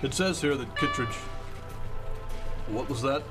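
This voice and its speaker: An actor playing a gruff, sarcastic, bullying male boss in a modern audio drama. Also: mid-range voice, unhurried, over music, natural voice. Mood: suspicious.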